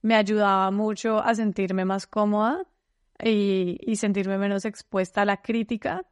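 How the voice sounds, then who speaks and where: A woman's voice: medium pitch, a little slow, dry recording. A Colombian woman talking as a podcast guest in casual conversation, often restarting phrases and using fillers. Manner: talkative, frequent restarts and fillers. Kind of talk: casual interview chat.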